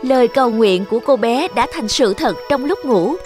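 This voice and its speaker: A woman narrates a children's fairy tale in a fairly high voice. The delivery is scripted storytelling, with music playing underneath. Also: lively intonation, normal speed, a little mellow.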